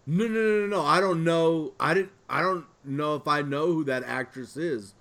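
A man's voice: a little high, moderate pace, a bit bright. A man hosting a podcast in casual chat with friends, informal and given to swearing. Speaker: podcast host.

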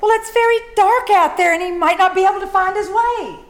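A woman's voice: extremely high pitch, a little fast. A woman playing a reproving, worried wife and mother in an old-time radio family comedy. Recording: echoey live performance recording.

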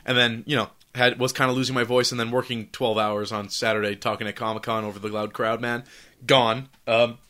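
A man hosts a casual podcast, his voice hoarse from a recent bout of laryngitis. He talks quickly in a bright, edgy tone. His delivery is loose, profane and full of fillers. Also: mid-range voice, flat delivery. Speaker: podcast host.

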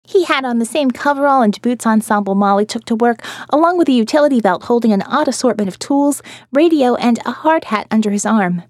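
A woman's voice: on the high side, lively intonation, fairly quick, few pauses, dry recording, studio quality. A woman narrating first-person audio fiction in a wry, sardonic manner.

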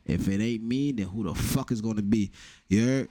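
A man's voice: lowish voice, normal speed, dark tone, dry recording. A young man with a New York accent, hosting a casual solo podcast with blunt, profane joking. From the Bronx, New York. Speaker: podcast host.

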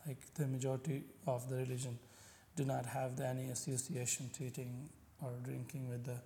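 A man from Pakistan with a Pakistani accent and Punjabi as his first language, speaking haltingly as a podcast guest. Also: mid-range voice, little pitch movement, creaky, mellow, slight background noise. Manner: halting, searching for words, frequent fillers. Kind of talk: conversational interview.

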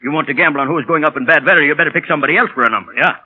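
An actor playing a kindly, worrying proprietor who protests hesitantly, in a vintage radio drama. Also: male voice, on the high side, racing, husky. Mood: fretful. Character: kindly, fretful male airfield proprietor. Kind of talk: 1930s radio drama acting. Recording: tinny old radio broadcast.

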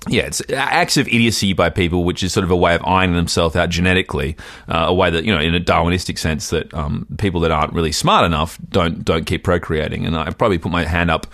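A man's voice: low-pitched, quick pace, fairly bright tone, no echo, studio quality. A man co-hosting a casual comedy podcast, telling a rambling, self-deprecating story with lots of restarts. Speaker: podcast co-host.

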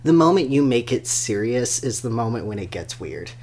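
A podcast co-host in casual, analytical chat, speaking in an animated, wry, conversational way. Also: mid-range voice, moderate pace.

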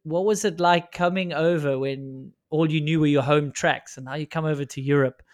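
A middle-aged South African man with a South African accent, interviewing a former teammate in a measured, thoughtful way. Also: on the high side, steady tone, no room sound, clean studio sound. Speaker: interviewer, former pro rider. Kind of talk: casual conversational interview.

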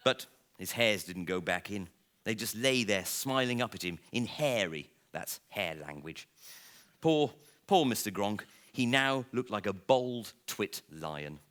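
A man reading a comic children's story aloud to listeners in a theatrical, playful way, with dramatic pauses. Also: on the low side, expressive, a bit bright. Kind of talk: expressive live storybook reading.

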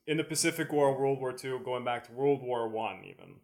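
A Canadian man with an average-pitched voice talks on a podcast. He explains things conversationally, laying out examples one piece at a time. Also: fairly quick. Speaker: podcast co-host. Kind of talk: casual explanatory chat.

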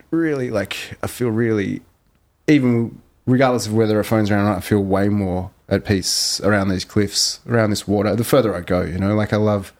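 A man chatting as a podcast guest, relaxed and reflective, with lots of fillers. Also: fairly low pitch. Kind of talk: casual podcast chat.

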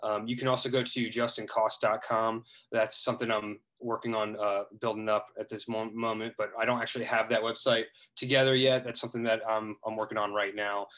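A man's voice: on the low side, level tone, brisk, few pauses, a bit bright. A man in his thirties from Ohio, a guest in a casual interview, talking earnestly with lots of hesitations. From Ohio, United States. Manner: earnest, hesitant, many fillers and restarts.

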